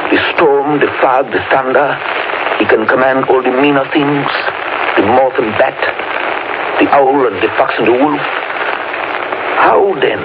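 A man plays a grave older professor in a 1930s radio horror play, commanding and solemn. He delivers deliberate oratory to his fellow vampire hunters, through a dull, very noisy broadcast recording. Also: mid-range voice, close to an everyday voice. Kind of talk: 1930s radio drama acting.

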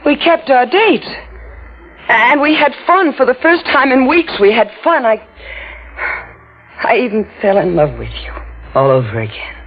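A woman's voice: fairly high pitch, big pitch swings, a little slow, long pauses. An actress playing an emotional, defiant young woman in a 1940s radio horror drama. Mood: bewildered, hurt. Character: passionate, defiant young woman drawn to a devil. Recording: muffled, noisy old radio recording.

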